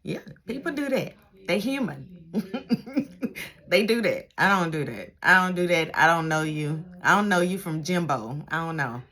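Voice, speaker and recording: Middle-aged American woman, slightly low register, informal vlog-style reminiscing with animated, emphatic storytelling. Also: measured pace. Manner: animated storytelling, acts out little exchanges, repeats phrases for emphasis. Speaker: vlogger, retired police dispatcher. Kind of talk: casual reminiscing chat to camera.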